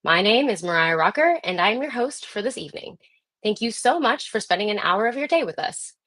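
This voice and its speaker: A woman's voice: mid-range voice, lively intonation, a little fast, a bit bright, no echo. A woman hosting a live online program, addressing viewers directly in a presenter's introduction style. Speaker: host. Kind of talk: scripted-sounding live show introduction.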